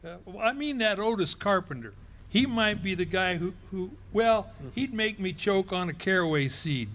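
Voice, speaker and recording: A man's voice: high voice, expressive, moderate pace, fairly bright tone. A man playing a blustering, joking husband. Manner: blustering and jokey, with stammering. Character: boastful blustering middle-aged husband. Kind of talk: live stage radio play.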